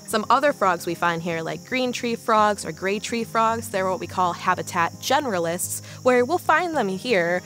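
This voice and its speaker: A woman's voice: medium pitch, animated, moderate pace, hardly any pauses, a bit bright. A woman from northern Illinois with an American accent, explaining as a researcher in a documentary interview. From northern Illinois, United States. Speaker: conservation researcher. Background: music, insects.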